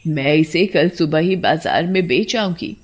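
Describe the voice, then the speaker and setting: A woman's voice: low voice, measured pace. A woman voicing a poor old village woman who dotes on her cow in a folk tale. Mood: amazed, delighted.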